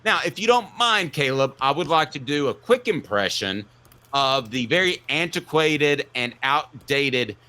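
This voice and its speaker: Animated, bright-voiced male sports talk host. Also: fairly high pitch. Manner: emphatic, punchy declarations with drawn-out stresses. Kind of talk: opinionated sports commentary.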